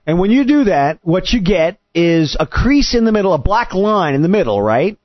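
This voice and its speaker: Male host, high and animated voice, probing and quick to cut in, dry but slightly lo-fi sound. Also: dry recording. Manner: probing, interrupting. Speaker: host questioning a guest. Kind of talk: conversational interview debate.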